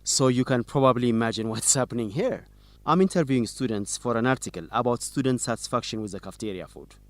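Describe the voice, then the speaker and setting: An actor playing a chatty, confident student newsletter reporter in an educational radio drama. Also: male voice, medium pitch, quick pace, dry recording, lightly acted. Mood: friendly, confident. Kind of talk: scripted radio drama dialogue.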